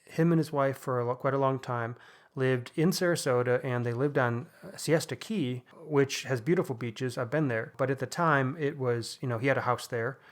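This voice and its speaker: A man's voice: mid-range voice, normal speed. A man co-hosting a podcast, talking through books in a casual, conversational style. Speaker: podcast co-host discussing books. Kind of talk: casual book discussion.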